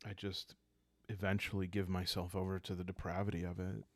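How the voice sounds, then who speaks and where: A man speaking softly and calmly, improvising a story for a solo roleplaying game and thinking aloud hesitantly. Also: low-pitched, no room sound. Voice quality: soft, calm. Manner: hesitant, thinking aloud with long pauses and sighs. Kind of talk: calm unscripted storytelling for sleep, journaling game playthrough.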